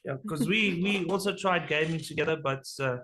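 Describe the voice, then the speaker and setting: Casual interview host, South African man, relaxed and jokey, swears freely. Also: a little high, moderate pace, a little hiss or hum. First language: Afrikaans.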